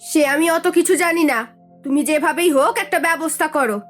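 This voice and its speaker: A woman voicing a nagging, sharp-tongued village housewife in a cartoon story, complaining and sarcastic. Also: sits high, brisk, soft background music, natural voice. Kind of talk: Bengali cartoon story voice acting.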